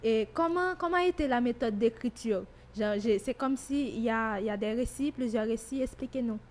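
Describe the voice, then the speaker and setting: A woman's voice: fairly high pitch, a little fast, darkish tone. A woman hosting a radio interview, putting questions to her guests. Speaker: radio host.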